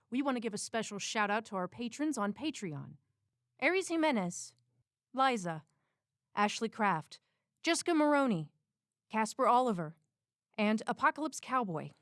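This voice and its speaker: A woman's voice: fairly high pitch, no room sound, clean studio sound. A woman reading scripted podcast credits and thanks as the show's announcer. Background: music.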